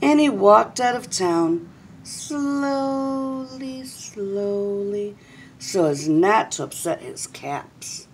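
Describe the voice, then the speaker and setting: A middle-aged woman reading a children's story aloud expressively, with dramatic pauses and drawn-out words. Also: mid-range voice, lively intonation, slight background noise, low-quality recording. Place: small indoor room.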